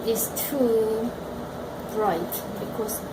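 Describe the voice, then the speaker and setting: A woman with a Korean accent, teaching painting and talking slowly and thoughtfully while she works. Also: a little high, reverberant, heavy background noise. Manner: slow and thoughtful, talks while working. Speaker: art teacher. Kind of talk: painting demonstration. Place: art gallery studio room.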